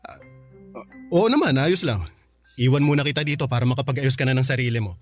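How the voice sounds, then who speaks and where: Male actor as young bachelor, radio love drama, medium pitch, calm and considerate, faint music behind. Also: close to an everyday voice. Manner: earnest, measured, conversational. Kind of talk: Filipino radio romance drama acting.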